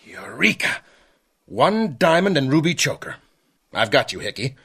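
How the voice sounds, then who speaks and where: An actor in a radio drama playing a brash, self-satisfied investigator with an emphatic way of talking. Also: male voice, a little high, very animated, unhurried, gravelly, sharp tone, slightly rough, exaggerated voice. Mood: triumphant.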